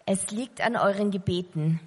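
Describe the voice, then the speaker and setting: A woman's voice: mid-range voice, moderate pace, a little mellow, slightly rough. A woman works as a live interpreter, relaying a sermon phrase by phrase. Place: large reverberant hall, beside the preacher. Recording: long hall reverb.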